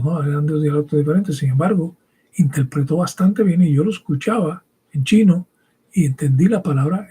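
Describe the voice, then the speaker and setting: Male preacher, very husky and rough voice, mellow tone, native English-Spanish bilingual, didactic, emphatic. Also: a little high. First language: English and Spanish, raised bilingual. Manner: didactic, emphatic, with frequent rhetorical check questions. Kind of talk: teaching sermon with scripture reading.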